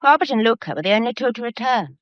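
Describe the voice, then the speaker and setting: A woman's voice: a little high, expressive, fast talker, no echo, natural voice. An actress with a British accent playing a poised, candid young upper-class woman in a period radio drama, polite and understated. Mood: troubled. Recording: dull, muffled lo-fi audio.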